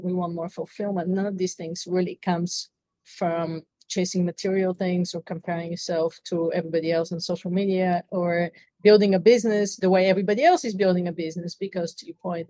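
A woman's voice: fairly low pitch, mellow, dry recording, low-quality recording. Woman, Bulgarian accent, middle-aged, reflective guest, dry close sound. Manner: earnest, reflective storytelling. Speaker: podcast guest. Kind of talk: interview conversation.

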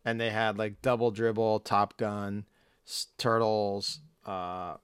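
A man in casual chat, rambling with lots of fillers and swearing, hoarse as he loses his voice. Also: on the low side, flat delivery, slow, no echo, clean studio sound. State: losing his voice. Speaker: podcast co-host.

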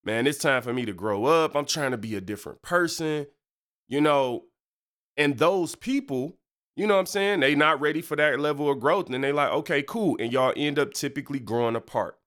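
A man's voice: on the high side, moderate pace, fairly bright tone. A young man, stuffed up from a cold, hosting a casual faith podcast in loose, slangy talk full of fillers and asides. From Michigan. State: congested. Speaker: podcast host and preacher.

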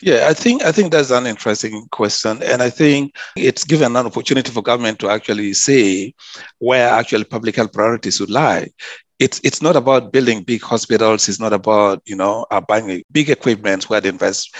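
A man's voice: medium pitch, brisk, no room sound. Earnest man, dry sound. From South Sudan. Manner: earnest, frequent restarts and repeated words. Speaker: public health officer and community advocate. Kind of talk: interview answers.

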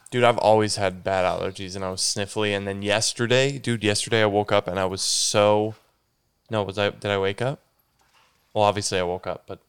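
A man's voice: on the low side, fairly bright tone, clean tone. Congested young man rambling on a podcast. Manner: rambling, self-correcting, says "dude" a lot. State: stuffy and congested. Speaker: podcast host. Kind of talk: casual solo podcast chat.

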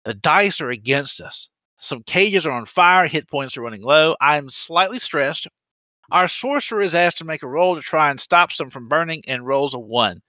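A man's voice: a little high, moderate pace, dry recording, lo-fi sound. A man co-hosting a podcast, reading out listener stories in casual chat with sarcastic asides and frequent laughs. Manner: animated, sarcastic, laughs often.

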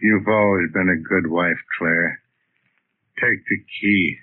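An actor in a 1940s radio thriller playing a menacing gangster back to haunt his killers, speaking in an ominous, deliberate way. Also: low voice, steady tone. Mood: coaxing. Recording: muffled tinny vintage radio recording.

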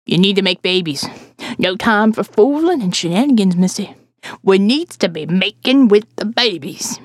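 A woman voicing a croaking, preachy woman of sixty in audio fiction, scolding in folksy rustic speech. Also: mid-range voice, animated, normal speed, dry recording, clean studio sound, natural voice. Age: 60s. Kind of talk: audio fiction character acting.

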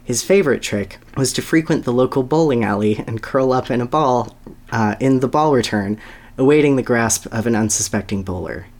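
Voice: mid-range voice, moderate pace, a little mellow. A podcast host talking casually and reading a story aloud as a dramatic reading.

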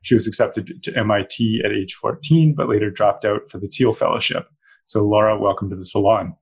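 A man hosting an interview over a video call, making measured, formal introductions. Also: on the low side, fairly even intonation, vocal fry, breathy edge. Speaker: host, editor-in-chief.

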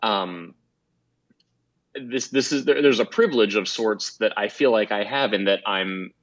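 A man with a deep voice, a guest in a casual interview, speaking in a measured, reflective and frank way. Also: no echo, highs cut off. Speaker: guest, motorsport site founder.

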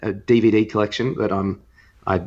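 Low-voiced man chatting, slightly dull recording. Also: level tone, fairly quick. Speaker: podcast host. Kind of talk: casual film discussion.

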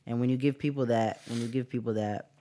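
A young man with a soft voice, hosting a casual student podcast and sounding relaxed and sleepy. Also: medium pitch, quick pace. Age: young adult. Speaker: podcast host. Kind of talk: casual chat.

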